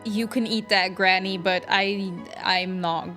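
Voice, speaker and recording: A woman giving casual live-stream commentary on a game. She is chatty and reacts aloud in a mid-pitched voice, with faint music in the background. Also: steady tone, takes it slowly.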